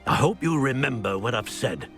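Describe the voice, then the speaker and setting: An actor with a British accent playing a stern, stubborn, weary middle-aged wizard uncle in a video game, curt and scolding. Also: male voice, medium pitch, lively intonation, moderate pace, a bit bright, faint music behind, close to an everyday voice.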